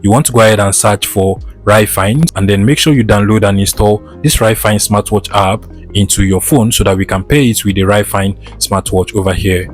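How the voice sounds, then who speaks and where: A man's voice: on the low side, steady tone, brisk, faint music behind. A man presenting a tech tutorial in step-by-step narration, with an instructional, methodical way of talking.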